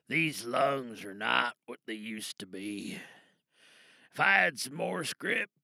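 A man playing a dying, bitter rebel in an audio drama, with a gravelly voice and weary, halting, pained delivery. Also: medium pitch, big pitch swings, pushed and bright, no room sound, clean studio sound, pitched below the performer's own voice, exaggerated voice. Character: dying, bitter, angry cyberpunk rebel recording a last message.

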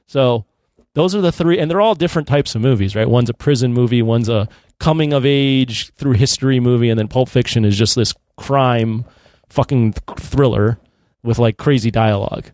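A man's voice: mid-range voice, moderate pace, a little mellow, dry recording. A man in his thirties co-hosting a podcast, talking casually about films. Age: 30s. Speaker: podcast co-host. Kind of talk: casual film discussion.

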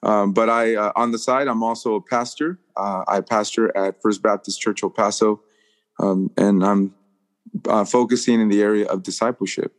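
A pastor introduces himself on a podcast in an easygoing way, hesitating with plenty of fillers. His voice sits a little low. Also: steady tone, normal speed. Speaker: pastor and club chaplain. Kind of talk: casual podcast chat.

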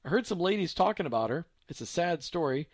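A man voicing a calm, sensible mother relaying a sad story. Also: on the high side, fast talker, dry recording, clean studio sound. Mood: somber. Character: sensible middle-aged mother, gentle.

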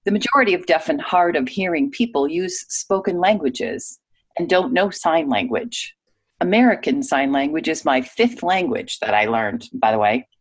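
A woman reading a guest's typed interview answers aloud in a clear, even manner. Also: sits low, normal speed.

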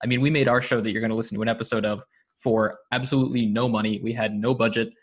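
A man's voice: mid-range voice, steady tone, rapid-fire, slightly rough. A man with an American accent speaking casually as an interview guest, explaining things in an articulate way. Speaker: podcast guest, audio drama producer.

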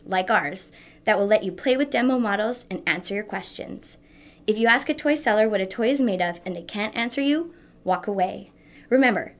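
A woman presenting a scripted segment of numbered tips, at a medium pitch.

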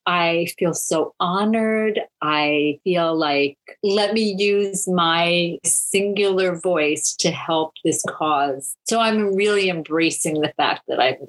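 A woman's voice: lowish voice, takes it slowly, hardly any pauses. A woman in her sixties, a comedian guest chatting casually in an interview, joking and rambling with asides. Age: 60s.

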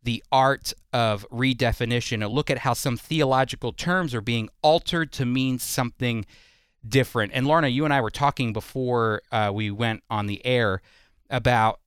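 A man's voice: mid-range voice, moderate pace, fairly bright tone, smooth, dry recording, clean studio sound. A man hosting a radio interview, talking conversationally in an earnest, emphatic manner. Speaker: radio host.

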